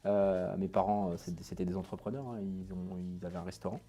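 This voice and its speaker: Low-voiced Parisian man, quick talk. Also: level tone, dark tone, slightly rough, soft background music. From Paris, France. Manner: modest, hesitant, many fillers. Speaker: entrepreneur, company boss. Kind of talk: casual interview, telling his life story.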